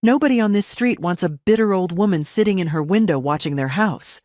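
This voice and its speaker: A woman's voice: fairly low pitch, brisk, a little mellow, no room sound, studio quality, pitched above the performer's own voice, natural voice. A woman voicing an angry, controlling younger daughter-in-law in an audio story, indignant and scolding, on the edge of panic. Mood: furious.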